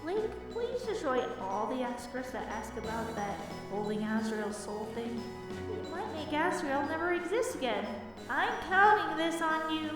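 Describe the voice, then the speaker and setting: Woman as an excitable, very high-pitched youngster in echo. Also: a little slow. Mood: dry, narrating. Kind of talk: amateur cartoon-fandom audio drama. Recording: distant, very echoey hall, music behind.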